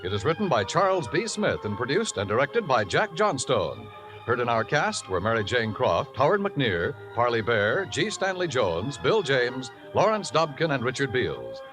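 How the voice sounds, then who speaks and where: A man's voice: mid-range voice, huge pitch swings, moderate pace, music in the background. A man announcing a 1950s radio broadcast in a formal, polished delivery.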